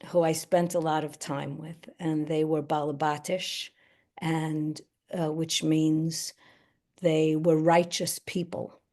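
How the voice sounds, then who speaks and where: Older woman guest, Yiddish first language, low-ish, unhurried, halting and reflective. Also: level tone, warm tone. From United States. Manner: thoughtful, halting, with frequent restarts. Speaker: actress and guest. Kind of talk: reflective Q&A answer.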